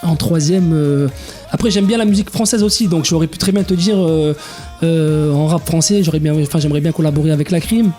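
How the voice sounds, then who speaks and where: A man's voice: on the high side, fairly quick, dark tone, faint music behind. Hesitant young man in his twenties. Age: 20s. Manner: hesitant, many restarts and fillers. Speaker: DJ guest. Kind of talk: casual interview chat.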